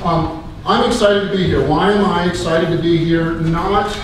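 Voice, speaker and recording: Big-voiced guy lecturing a crowd, fairly high voice, super echoey hall, far off mic. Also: normal speed. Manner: energetic, animated, moves around while talking. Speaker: guest speaker, clinician. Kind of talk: public talk to a live audience. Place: large indoor hall with audience. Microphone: far camera, no microphone. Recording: very echoey hall, voice from far off, clear background noise.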